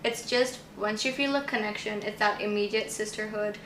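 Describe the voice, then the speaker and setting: A woman of twenty from Kenya, chatting as a guest in a relaxed, giggly way that often trails off mid-thought. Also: medium pitch, normal speed. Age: 20s. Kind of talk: casual chat. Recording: echoey room, faint hiss.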